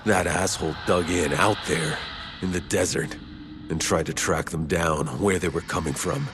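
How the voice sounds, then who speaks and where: Dude playing a gruff game mercenary, really low voice, blunt and cursing, music under it. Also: male voice, fairly even intonation, moderate pace, close to an everyday voice. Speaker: player character, mercenary. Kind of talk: video game dialogue.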